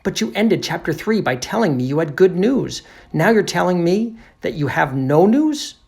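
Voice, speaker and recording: A man's voice: high-pitched, very animated, normal speed, gravelly, pitched above the performer's own voice, exaggerated voice. A man voicing a flustered, indignant storybook narrator in an exclamatory, emphatic way. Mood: baffled, insistent. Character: flustered, indignant book narrator alarmed by a mystery.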